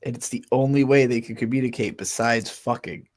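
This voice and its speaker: A man's voice: medium pitch, steady tone, fairly quick, darkish tone. A man giving casual, sweary reaction commentary as a streamer. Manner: informal, sweary.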